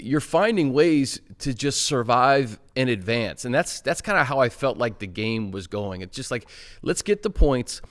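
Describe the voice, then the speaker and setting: An American man with a mid-range voice chats about football as a show co-host. His delivery is casual and unscripted, like everyday conversation between colleagues. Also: mid-range voice.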